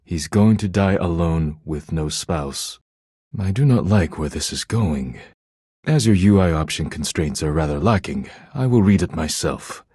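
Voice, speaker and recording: A man playing a proud, thin-skinned poetic living dish in a video game, speaking in a formal, deliberate, grave manner. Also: low voice, mellow, husky, no echo, clean studio sound, full character voice. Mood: reluctant reciting. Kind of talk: video game character acting.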